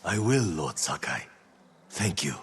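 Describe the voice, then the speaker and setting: Dreamy humble villager, low voice that swoops about, wistful, video game. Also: male voice, big pitch swings, breathy edge, exaggerated voice. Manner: deferential, dreamy, unhurried. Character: gentle, wistful male villager longing for peace. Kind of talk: cinematic video game acting.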